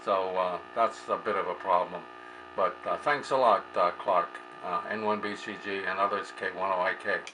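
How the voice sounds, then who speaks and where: A man's voice: deep voice, fairly even intonation, moderate pace. A man speaking as an amateur radio operator in a casual two-way chat, polite and a little hesitant. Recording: clear background noise and hum.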